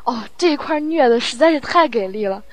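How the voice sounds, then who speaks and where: A woman's voice: sits high, animated, brisk. A woman working as a sound editor, chatting casually and playfully as she thinks aloud. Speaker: audio drama post-production sound editor. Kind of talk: casual chat.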